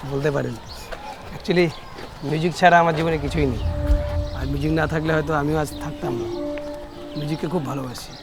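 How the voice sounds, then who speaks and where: A man's voice: a little high, steady tone, brisk, pauses often, natural voice. An actor playing an earnest young guitarist who is proud and touchy about his music, in a short film drama. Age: young adult. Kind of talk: acted dialogue in a Bengali short film.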